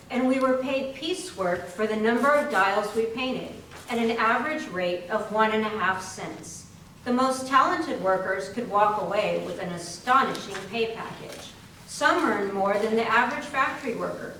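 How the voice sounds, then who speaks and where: A woman's voice: mid-range voice, expressive, normal speed, bright, forceful tone. A woman playing a practical young dial painter from a working family in a readers theater monologue. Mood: matter-of-fact. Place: library readers theater performance, distant microphone. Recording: very echoey, distant mic, faint noise.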